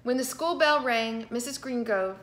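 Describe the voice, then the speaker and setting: A middle-aged woman reading a picture book aloud to children with expressive, dramatic emphasis. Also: fairly high pitch, moderate pace, a little hiss or hum. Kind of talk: animated children's storybook read-aloud. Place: indoor living room with piano.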